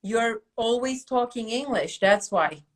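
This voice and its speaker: A middle-aged woman from Turkey with a Turkish accent, hosting a casual podcast chat in an animated, emphatic way. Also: a little high, measured pace. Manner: animated, emphatic, asks rhetorical questions. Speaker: academy host.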